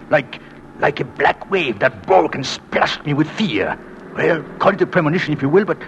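An actor playing an anguished, devoted immigrant husband in an old radio drama, speaking in a halting, brooding, intense way. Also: male voice, mid-range voice, background noise. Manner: halting, brooding, intense delivery with repeated words. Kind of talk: old dramatic radio-play acting with first-person narration.

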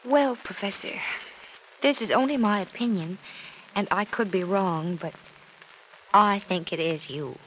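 A woman's voice: mid-range voice, measured pace, pauses often, fairly bright tone, lightly acted, rough recording. Actress as a thoughtful young woman in old radio soap. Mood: thoughtful, advising. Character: sympathetic, perceptive young woman advising a friend. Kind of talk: 1940s-50s radio soap acting. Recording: old radio recording, faint noise.

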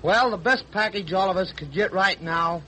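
An actor playing an eager, combative American submarine chief in 1940s radio style, on a noisy recording. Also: male voice, high voice, moderate pace, sharp tone.

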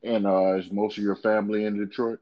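A podcast host with a very low voice asks his guest loose, meandering questions. He halts often and fills the gaps with "uh". The recording sounds dull and lo-fi. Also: flat delivery. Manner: halting, many "uh" fillers and restarts, calls the guest bro. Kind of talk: casual interview questions.

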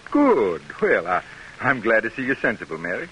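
A genial, practical gentleman in a vintage radio play. His voice is low and bright with big swings in pitch, over light noise. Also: wildly swooping pitch, moderate pace. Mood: probing, avuncular. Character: genial, practical brother-in-law, a grown gentleman host. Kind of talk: 1940s radio acting. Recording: noisy vintage radio recording.

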